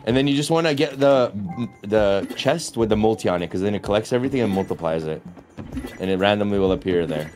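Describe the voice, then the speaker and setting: A man's voice: on the low side, animated, quick pace, darkish tone, soft background music. A man joining as a guest, casually explaining how a slot game works and swearing now and then. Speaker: guest streamer. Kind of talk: casual gaming chat.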